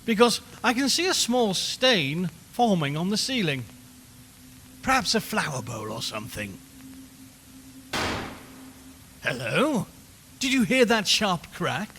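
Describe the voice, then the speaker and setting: A man acts out a male radio reporter in a haunted-house audio drama, in a long echoing space with audible noise behind him. He talks to listeners in a wry, uneasy, chatty way. His delivery swings widely in pitch. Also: sharp tone, full character voice. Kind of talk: dramatised live radio commentary. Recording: long reverberant hall, background noise.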